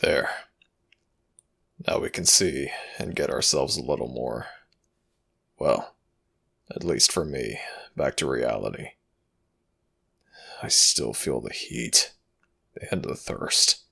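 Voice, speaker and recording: A man playing an ancient, protective god in an intimate ASMR audio roleplay, speaking in a halting, intense way with a gravelly, breathy voice. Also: rumbling low, pushed and bright, heavily acted. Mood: subdued, tender. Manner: halting, drawn-out, intense delivery.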